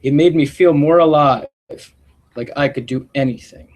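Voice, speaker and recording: A man reading a picture book aloud like a principal to schoolchildren, with expressive, deliberate storytelling delivery and short asides. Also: a little high.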